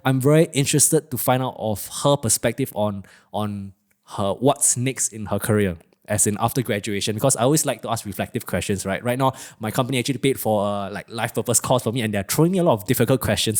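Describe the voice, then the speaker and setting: A man hosting a podcast interview, rambling and stringing many questions together. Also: medium pitch. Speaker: podcast host. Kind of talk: casual interview chat.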